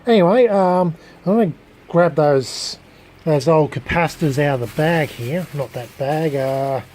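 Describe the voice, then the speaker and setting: Halting man in a noisy casual recording. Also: fairly high pitch, darkish tone. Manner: halting, searching for words. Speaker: hobbyist electronics restorer presenting a video. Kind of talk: casual unscripted demonstration.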